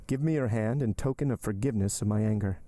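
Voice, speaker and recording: A man voicing a passionate young suitor in an audiobook, formal and measured, with bitter, desperate intensity. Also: fairly low pitch, mellow, no room sound, lightly acted. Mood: bitter, agitated. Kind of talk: audiobook character dialogue.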